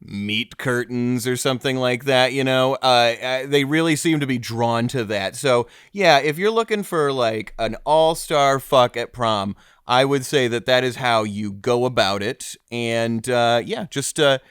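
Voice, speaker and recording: A man's voice: mid-range voice, a little slow, hardly any pauses, a bit bright, smooth, dry recording, clean studio sound. A man hosting a mock-serious comedy interview, hesitant and stammering, sounding awkwardly earnest. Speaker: podcast host.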